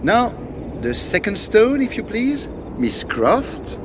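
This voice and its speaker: A man voicing a pompous, excitable French villain in a video game, theatrical and condescending, with mock courtesy. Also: high voice, takes it slowly, background noise, heavily acted. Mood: mock-courteous. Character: pompous, excitable French male villain, scheming treasure hunter. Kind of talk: video game cutscene voice acting.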